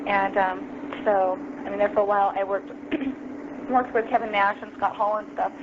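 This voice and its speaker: A woman's voice: medium pitch, level tone, moderate pace. Chatty woman on a noisy phone line. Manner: chatty, many ums and you-knows. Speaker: interview guest. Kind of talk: casual phone interview storytelling. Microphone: phone call.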